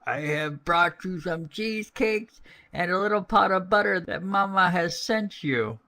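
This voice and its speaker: A man's voice: high voice, measured pace, sharp tone, voice pushed up, exaggerated voice. Man as a wolf faking a girlish voice. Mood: wheedling. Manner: falsely sweet. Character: wolf mimicking a little girl deceitfully.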